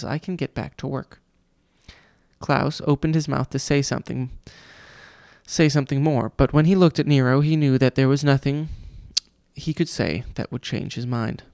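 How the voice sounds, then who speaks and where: A man's voice: mid-range voice, darkish tone, dry recording, clean studio sound. A man reading a children's audiobook aloud as scripted storytelling narration. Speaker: audiobook reader, father reading to his child.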